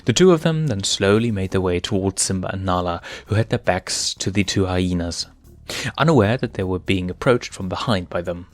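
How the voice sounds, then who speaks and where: Deep-voiced man narrating a story. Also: lively intonation, normal speed, no room sound, soft background music. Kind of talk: dramatic reading of written fan fiction.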